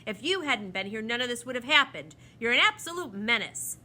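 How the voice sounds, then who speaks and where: A woman's voice: fairly high pitch, animated, measured pace, sharp tone, heavily acted. A woman voicing a stern, pompous male official who snaps and scolds in a firm, emphatic storybook manner. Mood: exasperated.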